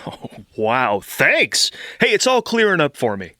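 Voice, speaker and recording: A frazzled male screenwriter in a comedy sketch. His voice is bright and forceful, stammering and agitated, with big swings in pitch. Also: wildly swooping pitch, measured pace, no echo, studio quality, heavily acted. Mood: relieved. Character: frazzled, blocked male screenwriter calling for help.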